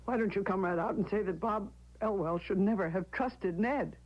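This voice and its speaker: A woman's voice: medium pitch, moderate pace, lightly acted. An actress playing an anxious widow questioning a man, her voice growing frantic, in an old-time radio drama. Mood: probing. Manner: probing, increasingly frantic. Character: anxious grieving wife, shaken by a ghostly visit. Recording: dull lo-fi old radio recording.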